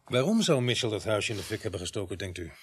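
Lively, sardonic police sergeant, noisy recording. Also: male voice, on the low side, quick pace. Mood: sceptical. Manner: sardonic, scolding, swinging from quiet coaxing to barking. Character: stern, sardonic police sergeant, grudgingly soft. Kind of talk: radio play dialogue.

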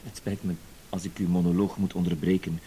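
An actor playing a laconic, courteous schemer in a radio play, speaking in a dry, unruffled, polite manner. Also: male voice, sits low, level tone, brisk, mellow, close to an everyday voice. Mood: dryly polite. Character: laconic, courteous male schemer hearing out an accusation. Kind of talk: Dutch radio play dialogue. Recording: hissy old radio play recording.

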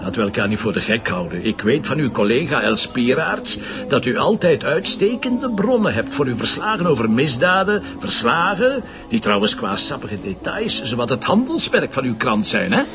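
An actor playing a shrewd, sardonic police commissioner who questions probingly, with a Flemish accent, in a naturalistic radio drama. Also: male voice, mid-range voice, sing-song, normal speed. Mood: sardonic, insistent. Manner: probing, dryly sarcastic. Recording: slightly dull lo-fi audio, music behind.

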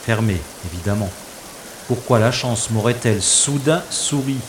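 A man's voice: medium pitch, normal speed, noisy background, close to an everyday voice. A man playing a wisecracking male secret agent in a French science-fiction audio drama, with dry, ironic delivery. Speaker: lead character in an audio drama. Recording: rain and water sound effects behind.

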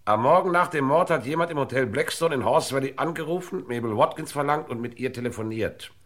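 An actor playing a brisk, shrewd police inspector in a 1960s German radio crime play, clipped and authoritative. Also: male voice, mid-range voice, animated, a bit bright, close to an everyday voice. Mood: stern, accusing.

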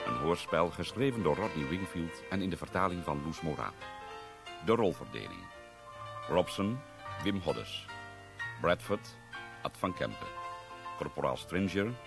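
A male announcer reading the closing credits in a measured, formal way. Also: deep voice, very animated, creaky. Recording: announcement over music.

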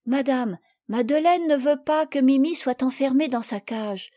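A woman's voice: measured pace, warm tone. A woman doing Marguerite, an earnest little girl. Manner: earnest, high childlike voice. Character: sensible, earnest young girl.

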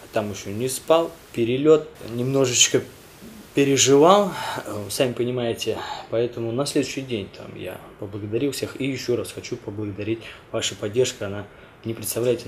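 A man vlogging in a casual, halting way, speaking while ill with a weakened voice. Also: mid-range voice, a little hiss or hum. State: ill, voice affected by a cold. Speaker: video blogger addressing viewers. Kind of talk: casual chat to camera.

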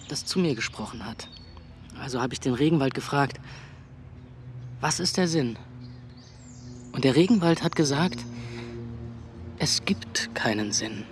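An actor playing a cynical young would-be novelist in a radio drama who tells stories in a dry, sardonic way. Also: male voice, on the high side, slight background noise, close to an everyday voice.

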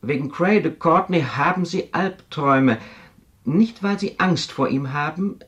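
A man's voice: fairly high pitch, fairly bright tone, highs cut off. An actor playing a formal, probing, insistent male telepath adviser in a 1970s radio drama. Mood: grave, insistent. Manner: deliberate, probing.

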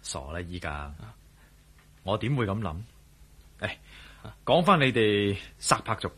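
A man playing an earnest, fair-minded adventurer in a radio drama, probing and gently admonishing. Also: lowish voice, sing-song, a little slow, breathy edge, heavily acted. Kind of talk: Cantonese radio drama acting.

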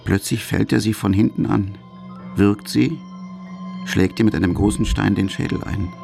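A man's voice: on the low side, steady tone, moderate pace, creaky. A man reading a scripted documentary narration in a terse, matter-of-fact manner. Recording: music under narration.

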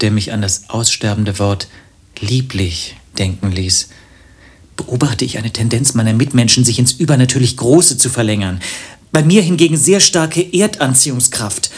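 An actor playing a lovelorn, self-pitying hypochondriac in a German radio play, with ornate, wry phrasing. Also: male voice, medium pitch, darkish tone, husky, close to an everyday voice. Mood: plaintive, ornate. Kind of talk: German radio play acting, literary monologue and dialogue.

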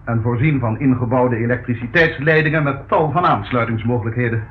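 A man's voice: mid-range voice, brisk, natural voice. An actor playing a fussy, easily scandalised male estate agent in a Dutch radio play, with formal, pompous patter. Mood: effusive, salesmanlike. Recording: muffled old radio recording, faint noise.

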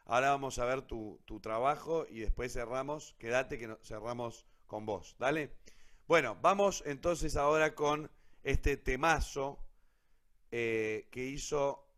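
A man's voice: medium pitch, unhurried, a bit bright. A man with an Argentine accent casually hosting a cultural programme, speaking off the cuff. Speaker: host of a cultural radio theatre programme.

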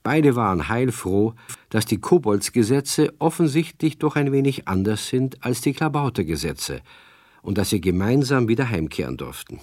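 A man's voice: mid-range voice, expressive, moderate pace, a little mellow, no echo, studio quality, natural voice. A man narrating a children's radio play as a genial storyteller. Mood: storytelling.

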